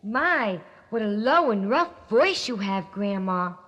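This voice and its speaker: A woman's voice: medium pitch, sing-song, takes it slowly, fairly bright tone, voice pushed down, heavily acted. A woman voicing a cheerful, trusting young girl with a sweet, innocent delivery. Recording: echoey recording.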